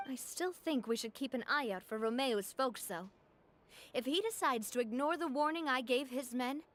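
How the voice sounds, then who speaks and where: A woman's voice: sits high, a bit bright, slight background noise. A woman voicing a confident young woman who leads a gang in a game, firm yet friendly.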